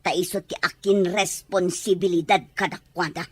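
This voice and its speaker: A woman's voice: lowish voice, fairly even intonation, normal speed, dry recording, lightly acted. An actress playing a scornful, indignant mother who refuses her family duty in a radio drama.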